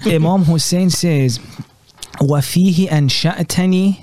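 A high-pitched man explains with earnest emphasis, his voice jumping upward when he makes a point. The sound is close and dry, with no room echo. He talks in a relaxed conversational way and presses hard on the ideas he cares about. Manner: earnest, emphatic, quick rising exclamations. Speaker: Islamic scholar and guest. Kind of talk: animated conversational talk.

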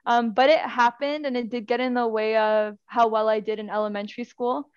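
A woman's voice: fairly high pitch, steady tone, a little fast, clear, resonant tone, dry recording, dull audio. A young woman from Afghanistan, a guest speaking about her nonprofit's work in a casual interview, talking earnestly in long winding sentences. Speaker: guest from a youth education nonprofit.

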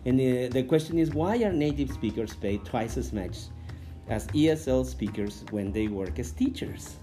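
A man whose first language is Spanish, an English teacher and coordinator, talking to camera, thoughtful and full of "uh" pauses. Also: mid-range voice, animated, warm tone. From Mexico. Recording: guitar music playing under the voice. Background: guitar music.

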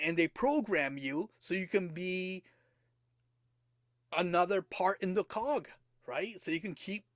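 Hoarse motivational podcast man. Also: sits high, dry recording, studio quality. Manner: earnest, repeats rhetorical questions to the listener. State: slightly hoarse from a cold. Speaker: podcast host.

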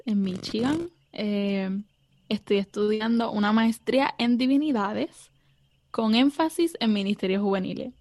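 A woman's voice: medium pitch, a little mellow, no echo. A young Puerto Rican woman, a theology student, answering interview questions earnestly with many fillers and some English words. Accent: Puerto Rican Spanish. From Puerto Rico.

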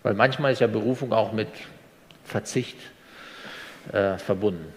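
A man interviewing a guest, asking halting questions full of fillers. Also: on the low side, long reverb tail.

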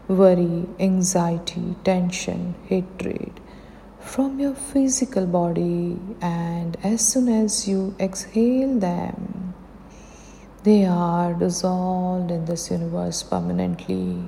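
A woman's voice: lowish voice, gravelly, mellow. A woman with an Indian accent leading a guided meditation, giving soothing instructions in short phrases. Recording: long hall reverb, faint background noise.

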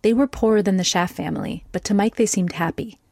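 A woman reading scripted documentary narration in a measured, clear way. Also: mid-range voice, dark tone, no echo, clean studio sound.

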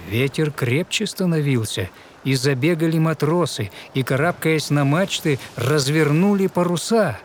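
Slow, theatrical male fairy-tale narrator. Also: a little high, slight background noise. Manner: measured, theatrical, expressive recitation. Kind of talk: dramatic verse storytelling of a fairy tale.

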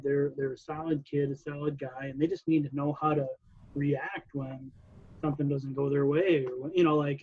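A man's voice: on the high side, slightly rough, dull audio. A man, a coach, talking casually and reflectively, with many "you know"s. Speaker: wrestling coach. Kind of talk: casual conversation.

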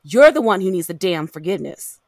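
A woman's voice: fairly low pitch, sing-song, fairly quick, a little mellow, no room sound, clean studio sound. An actress with an American accent playing a fed-up, defiant woman in an audio drama, blunt and emphatic. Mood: incredulous, indignant. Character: fed-up, fiercely defiant young woman facing abusive ex.

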